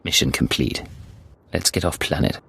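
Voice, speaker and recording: An actor playing a cool, hard imperial secret agent in a video game. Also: male voice, a bass voice, normal speed, gravelly, fairly bright tone, breathy edge, full character voice. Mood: cool, resolute. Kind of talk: video game drama acting.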